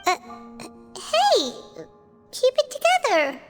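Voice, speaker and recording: Tiny boastful fairy sidekick, game role: piercingly high, squeaky, childlike, hugely animated. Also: female voice, slow pace, full character voice. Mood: alarmed. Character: tiny cheeky boastful floating fairy companion. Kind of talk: cartoonish video game voice acting. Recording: loud background music under dialogue.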